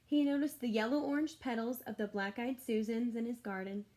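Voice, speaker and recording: A woman's voice: on the high side, fairly even intonation, brisk, mellow. A woman schoolteacher reading a picture book aloud to children, expressively, with chatty explanatory asides to her young listeners.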